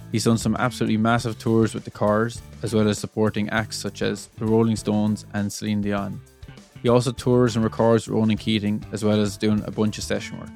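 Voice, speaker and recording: A man hosting a music podcast, asking halting, friendly questions in a casual chat. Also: on the low side, vocal fry, a little mellow. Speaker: podcast host. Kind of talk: scripted intro then casual interview.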